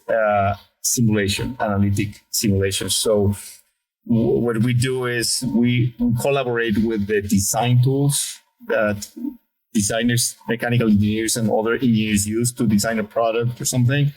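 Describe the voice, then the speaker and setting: A man's voice: medium pitch, fairly even intonation, moderate pace, a little mellow, rough recording. Hesitant man with a Mexican accent. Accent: Mexican Spanish. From Mexico City, Mexico. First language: Spanish. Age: middle-aged. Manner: hesitant, many fillers and restarts, friendly. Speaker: guest, sales lead. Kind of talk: interview answers.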